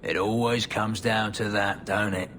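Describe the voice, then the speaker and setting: An actor playing a jaded, sardonic, down-and-out former knight in a video game, with wry colloquial talk. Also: male voice, lowish voice, fairly even intonation, a little slow, fairly bright tone, a little hiss or hum. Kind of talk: dramatic video game dialogue.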